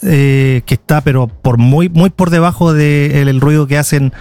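A man with a Chilean accent and a slightly high-pitched voice talks as an interview guest. He explains things conversationally, doubling back on words as he forms his answer. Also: normal speed, darkish tone, no room sound. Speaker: interview guest, tour guide and video creator.

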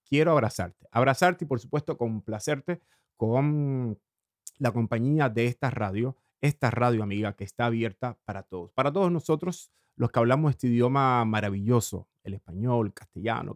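Man hosting a slow morning radio show. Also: mid-range voice, no echo, studio quality. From Cuba. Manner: slow, drawn-out phrasing with hesitant fillers. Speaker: radio host. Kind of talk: warm morning radio monologue.

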